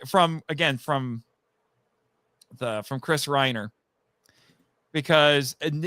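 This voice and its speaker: A man chatting casually as a guest on a live podcast, telling stories in a rambling, animated way and acting out quoted remarks. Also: on the high side, clear, resonant tone, dry recording. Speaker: guest, audio drama writer.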